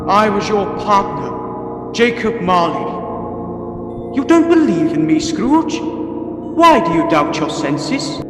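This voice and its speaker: Slow, echoing, solemn male ghost. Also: medium pitch, very animated. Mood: solemn, reproachful. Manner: slow, drawn-out, portentous. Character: solemn, mournful ghost of a dead male business partner. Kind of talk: radio play acting. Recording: long ghostly reverb, music underneath.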